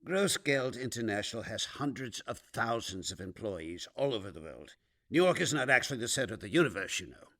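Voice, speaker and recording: A smooth male executive, defensive and hesitant as he tries to placate. His voice moves with animated pitch in a comedic radio drama style. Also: fairly quick. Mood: irritated. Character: smooth evasive corporate executive, the departing lover.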